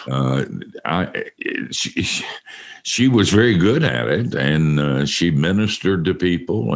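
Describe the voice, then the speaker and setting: An older man with a deep voice hosting a casual interview, joking and laughing at himself. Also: gravelly. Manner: joking, self-mocking, laughs often. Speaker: radio show host.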